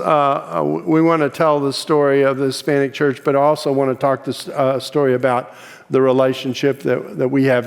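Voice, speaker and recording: An older man hosts an interview with a smooth, resonant voice. He talks in a friendly, rambling way, full of fillers and restarts. A long reverb trails after his words. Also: medium pitch. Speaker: interview host. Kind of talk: hosting a video interview.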